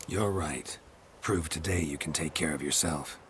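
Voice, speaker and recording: A terse, gravelly monster hunter. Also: male voice, a bass voice, a little slow, a bit bright, slight background noise. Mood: dry. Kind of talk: video game cutscene acting.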